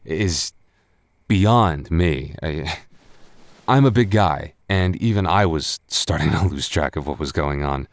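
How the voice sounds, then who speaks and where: A man's voice: rumbling low, slow, no echo, full character voice. A man playing a tipsy, teasing young roommate with a crush, stammering through an intimate roleplay audio in a hesitant drawl. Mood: defensive, rambling. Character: tipsy, teasing, smitten big male roommate, young adult.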